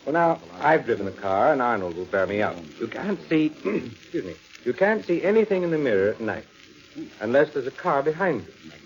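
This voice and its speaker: Analytical doctor, questioning, animated intonation, 1940s radio drama style, dull old recording. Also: male voice, medium pitch, moderate pace. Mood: calm, probing. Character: rational, probing doctor calming a frightened patient. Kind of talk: 1940s radio suspense acting.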